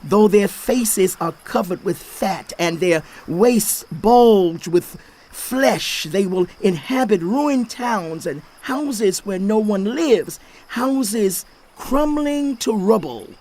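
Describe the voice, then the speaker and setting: An actor playing a stern aged sage who rebukes and accuses in a deliberate, declamatory dramatized scripture reading. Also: male voice, high voice, expressive, a little mellow, heavily acted. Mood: grave, ominous. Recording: faint outdoor insect ambience.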